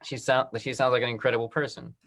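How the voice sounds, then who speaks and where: A man's voice: medium pitch, little pitch movement, quick pace, creaky, fairly bright tone. A man hosting an online question-and-answer session, upbeat and encouraging. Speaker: talk back host. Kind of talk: video call Q&A. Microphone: video call.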